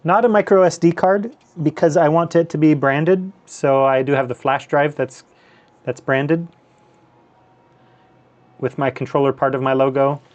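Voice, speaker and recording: A man's voice: a little high, fairly even intonation, unhurried, pauses often, darkish tone. Rambling man, dull stream audio. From North America, US and Canada. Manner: loose, rambling, many fillers and restarts. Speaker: handheld gaming streamer, host. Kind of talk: casual live stream chat over gameplay.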